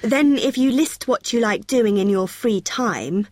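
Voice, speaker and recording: A woman's voice: a little high, unhurried, darkish tone, dry recording, studio quality. A young woman playing a friendly, helpful student union helper who explains things in an acted everyday dialogue. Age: young adult.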